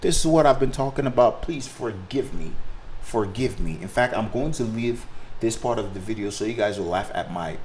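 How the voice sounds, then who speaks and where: A man with a very deep voice and a Nigerian accent, a native Igbo speaker, chats off the cuff to his viewers. His delivery is rambling and hesitant, and there is some faint noise in the recording. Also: lively intonation, moderate pace, darkish tone. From Africa. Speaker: sim racing video creator and driver. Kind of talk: casual, unscripted explanation to viewers.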